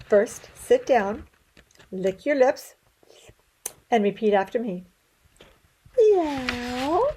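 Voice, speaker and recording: A woman's voice: a little high, big pitch swings, extremely slow, long pauses, mellow, slight background noise, heavily acted, poor audio. A woman voicing a helpful know-it-all cat who corrects and instructs in a children's storybook. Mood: instructing.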